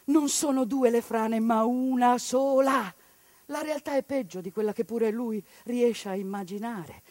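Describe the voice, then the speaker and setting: A woman actress delivering an impassioned, indignant theatrical monologue, swinging between loud outbursts and hushed passages. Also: fairly high pitch, a little slow, dry recording. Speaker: stage actress narrating a monologue. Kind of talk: theatrical civic monologue broadcast on radio.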